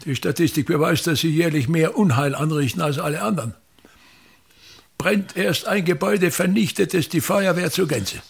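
Gravelly, pompous old stage tyrant. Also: male voice, on the high side, moderate pace. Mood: haughty, contemptuous. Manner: declamatory, grumbling, swinging from mutter to outburst. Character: pompous aging state actor, bitterly grandiose theatre tyrant. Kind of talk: theatrical radio-play monologue.

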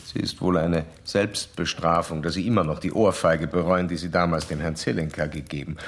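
A man acting in a radio drama as a stern, self-assured psychoanalyst who lectures in formal, old-fashioned, measured diction. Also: sits low, fairly even intonation, slight background noise. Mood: smug, lecturing.

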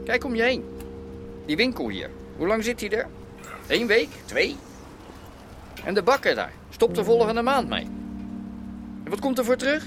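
An actor playing a slick, self-serving Rotterdam gangster in a radio drama, talking in an argumentative, businesslike way. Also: male voice, very high-pitched, huge pitch swings, normal speed, pauses often, background noise, heavily acted. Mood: pressing, insistent. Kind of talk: naturalistic radio drama dialogue.

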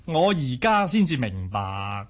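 An actor playing an eager, slow-witted young nephew in a Cantonese radio drama, husky, hesitant and fawning. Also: male voice, medium pitch, very animated. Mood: curious, hesitant.

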